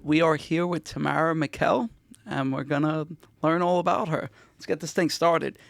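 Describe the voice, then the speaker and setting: Male podcast host, higher-set voice with some gravel, informal and chatty interviewing style. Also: normal speed, dry recording, clean studio sound. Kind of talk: casual interview.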